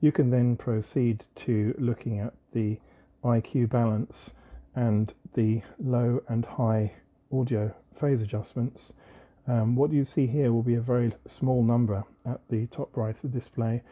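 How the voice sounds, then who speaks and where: A man with a husky, slightly rough voice at an ordinary male pitch walks through a technical demonstration. He speaks informally but methodically, in measured phrases with short pauses. The recording is a little dull and lo-fi. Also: steady tone. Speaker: presenter of an electronics tutorial. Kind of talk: informal hands-on technical demonstration, explaining step by step.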